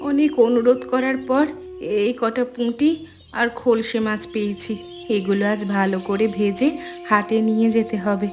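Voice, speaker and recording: A woman's voice: fairly high pitch, steady tone, moderate pace, close to an everyday voice. A woman playing a weary, long-suffering poor village mother in a melodramatic cartoon, plaintive and tender in manner. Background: loud music.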